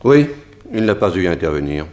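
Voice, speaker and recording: An actor playing a sober, wary prison officer who reports gravely and matter-of-factly in a 1960s French radio drama. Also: male voice, sing-song, fairly quick, darkish tone. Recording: faint rumbling noise, old radio production.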